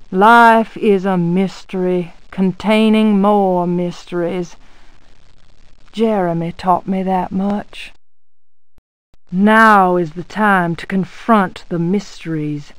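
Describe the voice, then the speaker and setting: An actress playing an anxious but resolute genteel niece, narrating a gothic horror game in a formal, dramatic manner. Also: female voice, lowish voice, steady tone, slow, clean tone, no room sound, low-quality recording. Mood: pensive, uneasy. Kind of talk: scripted game monologue narration.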